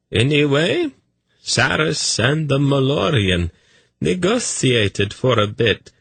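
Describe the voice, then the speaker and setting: A man voicing a dreamy, scheming male eunuch in an audiobook, telling a story in a smooth, lilting way. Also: a little high, fairly bright tone, no room sound, voice pushed up, full character voice.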